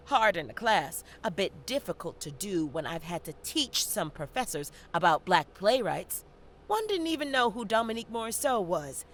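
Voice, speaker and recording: An actress playing a proud, sharp-tongued, working-class Jamaican college student, fed up and sardonic, in a theatrical audio-play monologue. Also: female voice, medium pitch, moderate pace, slight background noise, natural voice. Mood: indignant, proud. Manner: sardonic, animated, theatrical storytelling.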